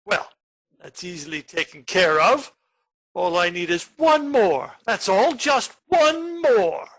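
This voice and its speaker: A man plays a murderous nephew in a radio comedy, boastful and easily offended. The voice is sharp and forceful, swinging widely in pitch with theatrical emphasis. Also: very animated, takes it slowly, full character voice. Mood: boastful, menacing. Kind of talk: radio play acting.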